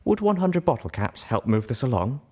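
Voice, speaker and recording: A haughty unicorn lady, voiced by a man, speaks loftily and silkily with a raised pitch. She is a theatrical smooth talker in a storybook tale. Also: sing-song, moderate pace, mellow. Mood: silky, impatient. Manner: lofty, silky, overly dramatic. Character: haughty, silky female unicorn pony, lofty smooth-talking liar.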